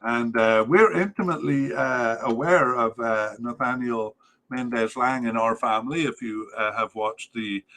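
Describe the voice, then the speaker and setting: A man going through a squad list on his soccer podcast, halting often with fillers and correcting himself as he goes. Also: mid-range voice, pushed and bright. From Wales. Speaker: podcast host.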